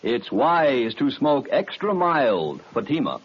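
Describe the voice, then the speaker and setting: A man doing scripted 1950s radio announcing in a formal, deliberate, emphatic way. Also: medium pitch, very animated. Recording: muffled old radio broadcast with music.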